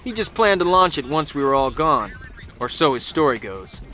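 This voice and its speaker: A man's voice: fairly high pitch, very animated, normal speed, bright, forceful tone, soft background music. A man playing a cynical American soldier in a video game, narrating the war in a wry, colloquial style with an American accent. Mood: wry, resolute. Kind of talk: dramatic scripted first-person narration.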